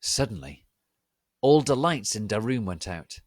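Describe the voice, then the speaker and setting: Slow, theatrical man reading aloud. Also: lowish voice, very animated, darkish tone, dry recording. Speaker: reader of online fan fiction. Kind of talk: dramatic reading.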